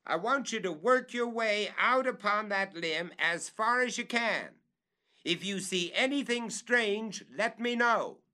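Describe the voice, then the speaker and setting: A man voicing an excited treasure hunter who shouts orders up a tree in a raised, high voice. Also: slow, heavily acted. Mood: excited, commanding.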